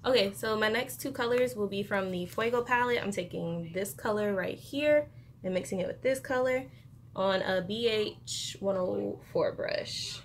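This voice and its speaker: Young woman, beauty vlogger, casual slangy tutorial talk, medium pitch, bedroom recording, faint noise. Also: moderate pace. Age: young adult. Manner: informal, slangy, chatty. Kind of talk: casual makeup tutorial chat. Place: bedroom, indoors. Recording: noticeable room noise.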